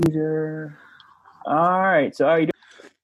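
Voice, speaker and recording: A man's voice: a little high, steady tone, a little slow, lo-fi sound. A man interviewing casually, with loose, meandering questions.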